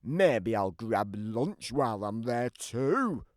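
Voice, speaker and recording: A man's voice: mid-range voice, sing-song, slow pace, no room sound, studio quality. A man voicing a big, grumpy, always-hungry giant who tells his side of a children's storybook in a theatrical, emphatic way. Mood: wry, sulky. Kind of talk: scripted children's storybook narration.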